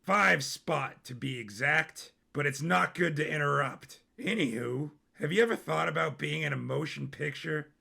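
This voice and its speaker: A man's voice: on the high side, moderate pace, gravelly, hardly any pauses, pushed and bright, husky, heavily acted. A man voicing a brash, manic royal bastard turned robber in an audio story, boisterous, cocky and theatrical. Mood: breezy, commanding.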